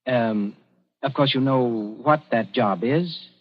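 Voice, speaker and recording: A dry, wry young official. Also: male voice, mid-range voice, slow. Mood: calm. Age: young adult. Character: cool young British colonial official. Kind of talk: 1940s radio acting. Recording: dull 1940s radio broadcast.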